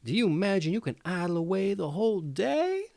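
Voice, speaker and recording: A man's voice: high voice, sing-song, a little fast, creaky, a little mellow, dry recording, studio quality, pitched above the performer's own voice, full character voice. A man plays a wicked storybook stepmother, haughty and cruel. Her voice is raised and sweeps up and down as she scolds and threatens. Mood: threatening.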